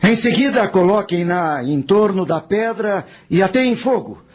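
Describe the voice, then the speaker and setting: Man acting as high-pitched commanding ancient army general. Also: expressive, measured pace. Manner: authoritative, giving orders. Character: commanding male army general of antiquity, stern and resolute. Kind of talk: radio drama acting.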